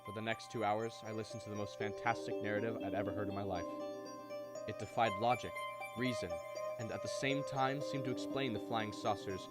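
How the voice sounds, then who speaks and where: A man playing an earnest, logical mathematics professor in a radio drama, speaking gravely and dramatically. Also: lowish voice, steady tone, a little fast, over loud music, close to an everyday voice. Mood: tense narration. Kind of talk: old-time radio drama acting and narration.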